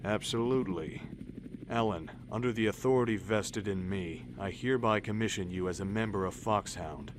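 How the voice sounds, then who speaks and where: An actor playing a steady, authoritative colonel in a military radio drama, formal and assured. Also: male voice, on the low side, normal speed, background noise, close to an everyday voice. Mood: solemn, reassuring. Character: steady, authoritative male army colonel in command. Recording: loud dramatic score behind.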